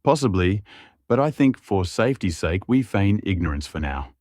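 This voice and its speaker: A man's voice: fairly low pitch, very animated, normal speed, a little mellow, no room sound, clean studio sound. A man voicing a tall, crooked, shrewd man in a fantasy story, guarded, probing and serious. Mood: grave, guarded.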